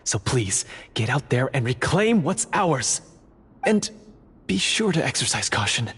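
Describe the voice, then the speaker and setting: An actor voicing an earnest, formal palace guard and secret ally in a fantasy video game, speaking solemnly. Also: male voice, mid-range voice, big pitch swings, a little slow, a bit bright, breathy edge, exaggerated voice. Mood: urgent, solemn. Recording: long reverb with background noise.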